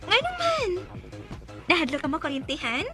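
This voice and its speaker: A woman's voice: very high-pitched, wildly swooping pitch, normal speed, over music, exaggerated voice. An actress playing a forward, teasing woman in a Bisaya radio drama, with a Filipino accent. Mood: flirty, brazen. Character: forward women of a radio drama: nagging wife, flirty bar hostess.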